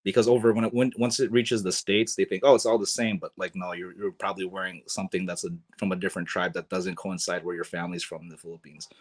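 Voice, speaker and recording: A man's voice: low voice, level tone, fast talker, few pauses. A man in a casual podcast conversation, speaking thoughtfully with many fillers and restarts.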